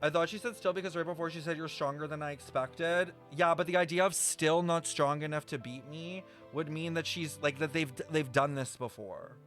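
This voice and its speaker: A high-voiced man streams a live game reaction, gushing and dramatic, swearing freely and repeating his exclamations. Game music plays faintly behind him. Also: moderate pace, hardly any pauses, a bit bright.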